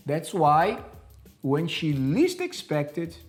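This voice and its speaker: Guy hosting a tv love talk show, forties, really animated, bit of music in back. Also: fairly high pitch, extremely slow. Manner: lively, upbeat presenting. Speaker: host. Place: TV studio, indoors.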